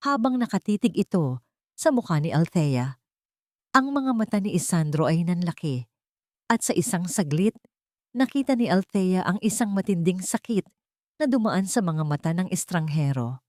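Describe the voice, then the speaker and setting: A woman's voice: medium pitch, dry recording, clean studio sound. A woman reading a dramatic love story as a scripted narration.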